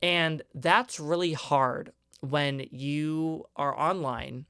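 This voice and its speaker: A man in his twenties hosting a solo podcast, with a higher-pitched voice and an earnest, emphatic way of ranting. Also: fairly even intonation, drawn-out words, sharp tone, clean tone, dry recording, clean studio sound. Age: 20s. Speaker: podcast host.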